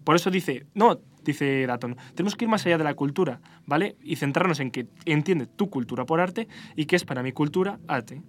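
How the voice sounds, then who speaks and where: A man's voice: a little high, brisk, hardly any pauses, slight background noise. A man with a Spanish accent hosting a podcast debate on art, explaining ideas at length and often correcting himself. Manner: explanatory, self-correcting, animated. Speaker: podcast host. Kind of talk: philosophical debate.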